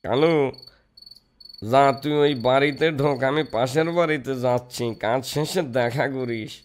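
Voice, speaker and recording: A man voicing a wily, boastful village thief in a comic cartoon, with a creaky, exaggerated, drawn-out delivery over music. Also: medium pitch, background noise, lightly acted. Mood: sly, boastful. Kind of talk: comic Bengali cartoon dubbing. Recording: music behind the voice.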